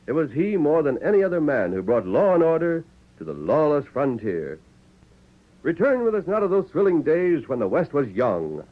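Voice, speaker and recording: A man's voice: fairly high pitch, huge pitch swings, moderate pace. A man with an American accent giving grand, declamatory scripted narration as a 1940s radio announcer. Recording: muffled vintage 1940s radio recording.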